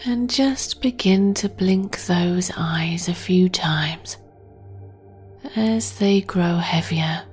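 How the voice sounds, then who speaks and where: A woman guiding a sleep meditation in a soft, breathy near-whisper, gently and with long pauses. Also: lowish voice. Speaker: meditation guide and podcast host. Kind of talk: guided sleep meditation, soothing narration. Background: meditation music.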